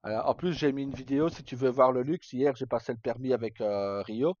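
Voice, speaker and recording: A man's voice: mid-range voice, a little fast, darkish tone, no echo. Man chatting casually, muffled dry sound. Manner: informal, mildly sweary. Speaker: livestream host. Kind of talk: casual chat.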